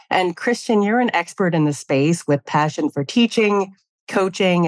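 Low-voiced woman podcast host. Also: dry recording. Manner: measured, reads guest introduction. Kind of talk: scripted intro and interview questions.

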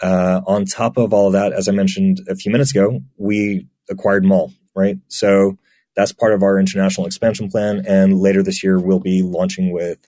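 A man giving relaxed, chatty answers as an interview guest, often restarting his sentences. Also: low voice, moderate pace, no echo. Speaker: guest, marketplace team member.

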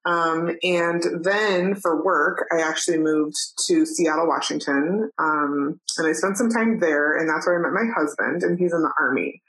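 A woman in her thirties from New Mexico, talking casually as a podcast guest, chatty with many "um"s and soft laughs. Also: low-pitched, normal speed, hardly any pauses, rough recording. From New Mexico, USA. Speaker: guest, photographer and educator. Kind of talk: casual interview chat.

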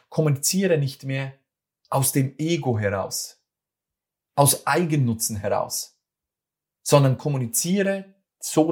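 A man's voice: mid-range voice, darkish tone. Earnest Swiss German man giving advice. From Switzerland. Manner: earnest, repeats and restarts phrases, addresses listener directly. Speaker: speaker giving communication advice. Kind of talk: motivational monologue.

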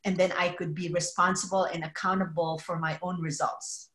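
A middle-aged woman from the Philippines, a podcast guest talking in an earnest, measured way with frequent fillers. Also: on the low side, little pitch movement, a bit bright, echoey room. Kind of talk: casual interview conversation.

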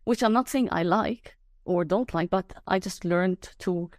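Soft-spoken woman podcast host. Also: unhurried, no echo, studio quality. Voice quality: low, soft voice. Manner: laughs often, hesitant with fillers. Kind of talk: casual conversational chat.

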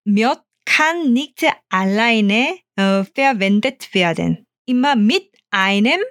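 A woman's voice: fairly high pitch, animated, slow, fairly bright tone, dry recording, clean studio sound. Korean-accented woman teaching conversationally. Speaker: Korean language teacher, co-host. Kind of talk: conversational language lesson.

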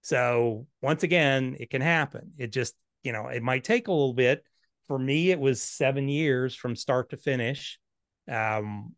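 Man in his fifties, blunt and candid, smooth clear voice, dry close sound. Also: medium pitch, no room sound. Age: 50s. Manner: blunt, candid, self-deprecating. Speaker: author and financial planner. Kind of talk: podcast interview answers.

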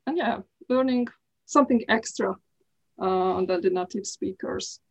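A middle-aged woman from Hungary with a Hungarian accent, talking as an interview guest in careful, halting English with frequent restarts. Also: medium pitch, vocal fry, breathy edge, muffled recording. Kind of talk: casual interview talk.

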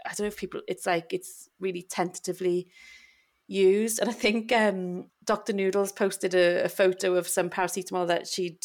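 Gravelly-voiced chatty young woman. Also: mid-range voice, level tone, moderate pace, a little mellow. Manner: chatty, laughs often, many restarts. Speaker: podcast co-host, doctor. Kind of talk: casual chat.

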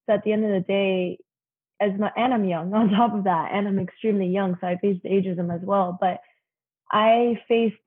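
A young woman in her twenties with a youthful, slightly husky voice, talking casually as an interview guest. Her speech is full of "you know" fillers, and the sound comes through a thin, phone-like line. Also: mid-range voice, steady tone, normal speed. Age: 20s.

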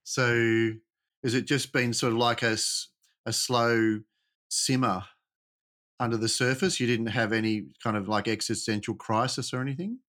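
A man hosts an interview, mid-pitched and unhurried. He asks halting, searching questions and sums up the guest's answers. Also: a bit bright.

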